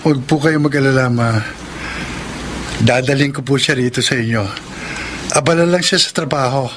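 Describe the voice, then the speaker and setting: An actor with a Filipino accent playing a remorseful, devoted young lover in a radio drama. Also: male voice, medium pitch, moderate pace, very noisy, natural voice. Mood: reassuring. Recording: loud music under the voice.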